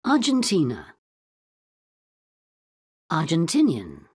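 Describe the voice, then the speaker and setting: Woman, low husky voice, very slow, sweeping pitch, dry close sound. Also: huge pitch swings, a little mellow, no echo. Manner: careful, drawn-out pronunciation. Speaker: vocabulary reader for a language lesson. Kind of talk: reading word lists slowly.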